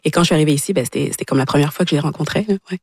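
Fast-talking young woman, dry close sound. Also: low-pitched, darkish tone, slightly rough, dry recording. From Quebec, born in Congo. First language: Lingala. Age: 20s. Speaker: guest, actress. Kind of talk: personal interview answers.

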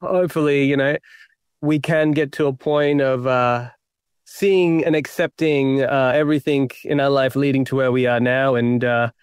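A man's voice: mid-range voice, fairly even intonation, moderate pace, clean tone, dry recording, clean studio sound. A young Australian man, a playwright being interviewed, talking in a reflective, self-deprecating way with many fillers and restarts. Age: young adult. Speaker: playwright guest.

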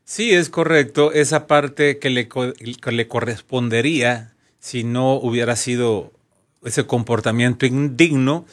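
A Honduran man speaks on talk radio in a mid-pitched voice. His delivery is conversational and measured, like a legal adviser laying things out for listeners. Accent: Honduran Spanish.

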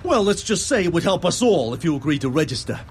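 A man's voice: a little high, very animated, fast talker, husky, voice pushed up. A man doing a wheedling army captain. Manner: coaxing, bargaining, deferential on the phone. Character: smooth, persuasive male army captain. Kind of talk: one-man stage play.

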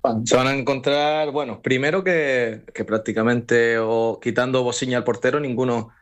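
A young man, a former footballer, answering a radio interview in a relaxed, rambling way with many fillers and restarts. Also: mid-range voice, normal speed, dull audio.

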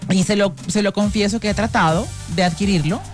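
A woman's voice: medium pitch, fairly quick, faint music behind. A young woman co-hosting a casual chat, agreeable and exclamatory. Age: 20s. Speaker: co-host.

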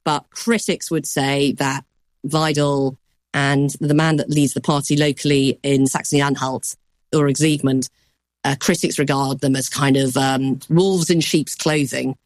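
A woman news correspondent giving a measured explanation on a news program, softening points with qualifiers. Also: extremely low pitch, flat delivery, dry recording.

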